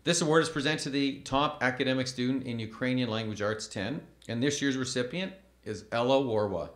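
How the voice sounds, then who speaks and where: A man in his thirties reads award citations at a podium, his middle-pitched voice measured and formal. The room rings around him with a roomy echo, as on a school event stage. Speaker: awards ceremony announcer. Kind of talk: reading award announcements. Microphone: podium microphone.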